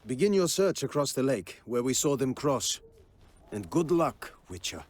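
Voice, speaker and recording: Proud by-the-book army quartermaster, husky n formal, voice goes up and down, game scene w some music. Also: male voice, mid-range voice, big pitch swings, moderate pace, darkish tone. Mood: haughty, scornful. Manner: formal, haughty, precise. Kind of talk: video game acting.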